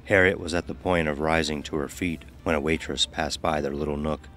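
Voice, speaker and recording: Very deep-voiced man narrating fiction. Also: steady tone, a little fast, a bit bright, faint music behind. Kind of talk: scripted story narration.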